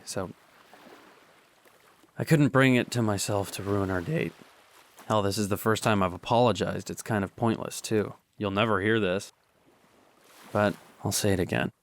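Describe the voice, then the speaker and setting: A man's voice: lowish voice, close to an everyday voice. An actor playing a remorseful young male student in a radio drama, confessing hesitantly with stammers and restarts. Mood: apologetic. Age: young adult.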